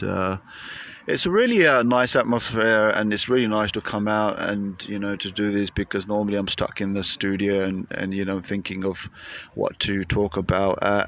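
A British man hosts a podcast outdoors, talking casually and hesitating often. His voice sits fairly low. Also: a bit bright. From Britain. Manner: hesitant, full of fillers. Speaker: podcast host. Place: outdoors in a busy public spot.

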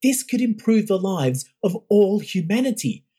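A woman's voice: mid-range voice, big pitch swings, normal speed, warm tone, breathy edge, voice pushed up. A narrator voicing an idealistic young woman who invents runes, speaking earnestly and pleading her case.

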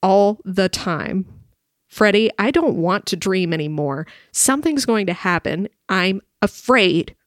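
A woman's voice: medium pitch, animated, a little slow, no room sound, studio quality, natural voice. An actress playing a frightened young wife who narrates her dreams in an old radio drama, earnest and anxious. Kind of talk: 1950s radio drama acting.

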